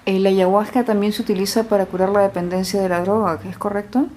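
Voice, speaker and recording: A woman interviewing a healer, asking deliberate, drawn-out questions. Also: medium pitch, flat delivery, darkish tone, a little hiss or hum.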